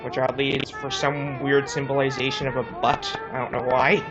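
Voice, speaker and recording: A woman's voice: extremely low pitch, little pitch movement, moderate pace, muffled recording. A woman co-hosting a let's play, giving casual gameplay commentary in a hesitant, conversational way.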